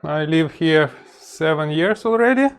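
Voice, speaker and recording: Slow, gravelly Ukrainian-accented man. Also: fairly high pitch, lively intonation. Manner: slow, musing, mutters to himself while searching. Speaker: electronics hobbyist host. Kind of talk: casual workbench commentary.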